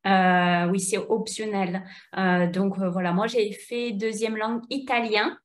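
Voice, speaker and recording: A woman from France hosting an interview, asking questions slowly with many fillers. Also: medium pitch, fairly even intonation. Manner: slow, halting, many fillers. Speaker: host, French tutor. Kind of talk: conversational interview.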